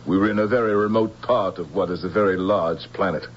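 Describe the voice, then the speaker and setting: A grave, determined general played by a man in a science fiction radio play. He presses each point with measured, theatrical emphasis. The sound is dull and old, with light hum and hiss underneath. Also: sits low, natural voice. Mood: grave, reporting. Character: grave, resolute male general of a future army. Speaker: radio drama actor. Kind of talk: theatrical radio drama acting.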